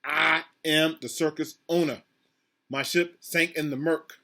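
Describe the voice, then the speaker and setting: A man voicing a mean, rude, blustering circus owner from a storybook, loud and declarative. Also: on the high side, fairly even intonation, a little slow. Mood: demanding.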